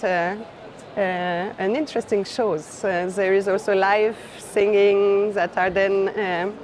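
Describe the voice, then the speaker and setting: Casting: woman, non-native English, art curator in casual conversation, halting delivery, medium pitch, noisy ambience. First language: not English. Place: art fair, on the move. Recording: noisy busy fair ambience.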